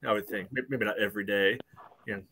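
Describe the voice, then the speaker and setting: A man's voice: on the low side, fairly even intonation, quick pace, sharp tone, slight background noise. A middle-aged man hosting a casual sports discussion on a video call, in a rambling way. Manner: rambling, frequent "you know". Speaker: podcast host. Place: indoor room at home.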